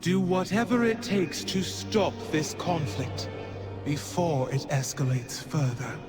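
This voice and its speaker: An actor playing a wise, grave otherworldly keeper giving formal quest instructions in a video game. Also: male voice, on the high side, expressive, slow pace. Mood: grave, concerned. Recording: voice over clear background music.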